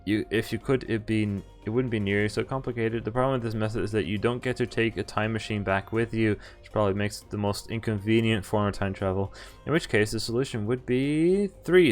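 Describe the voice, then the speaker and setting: A young man doing a live let's play, reading game text aloud with informal commentary and the odd stumble. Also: lowish voice. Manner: informal, stumbles and rereads while sight-reading. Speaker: game streamer. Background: faint game music.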